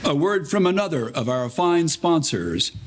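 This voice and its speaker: A man's voice: on the high side, sing-song, normal speed, a little hiss or hum. A man playing a 1940s radio announcer, reading a sponsor's advertisement in a formal, promotional way.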